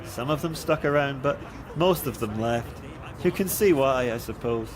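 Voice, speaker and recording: A man's voice: medium pitch, animated, normal speed, crowd noise, lightly acted. A man playing one of a group of cloned male colonists in an audio drama. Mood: matter-of-fact.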